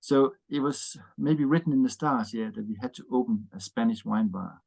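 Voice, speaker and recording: A man's voice: medium pitch, normal speed, vocal fry, darkish tone, breathy edge, low-quality recording. Danish guy in his 50s, accented english, lots of uh and yeah, easygoing guest chat. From Denmark. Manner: rambling, many fillers and "yeah" tags. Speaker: interview guest, wine bar owner. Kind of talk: casual interview chat.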